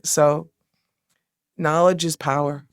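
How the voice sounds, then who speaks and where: A woman's voice: low voice, steady tone, takes it slowly, clean tone, no room sound, clean studio sound. A woman appearing as a podcast guest for a restaurant, talking in a friendly, upbeat, promotional way. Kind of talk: casual interview chat.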